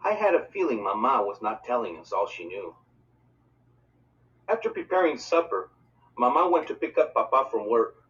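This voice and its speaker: A man reading a children's novel aloud as an expressive, dramatic narrator. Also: mid-range voice, normal speed, long pauses. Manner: dramatic, voices dialogue for characters. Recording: distant mic, dull lo-fi sound.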